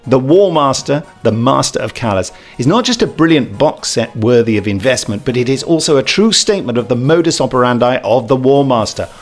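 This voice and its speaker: A man's voice: medium pitch, lively intonation, fairly quick, hardly any pauses, faint music behind. A man hosting a podcast, chatting casually and reading reviews aloud with a jokey manner. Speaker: podcast host.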